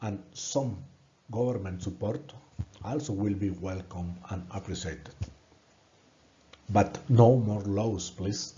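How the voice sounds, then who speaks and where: A man from Colombia with a Colombian Spanish accent, a native Spanish speaker giving an earnest, halting presentation in English and repeating words when stuck. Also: fairly low pitch, dark tone, dull audio. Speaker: student presenting a course project. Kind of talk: prepared presentation narration.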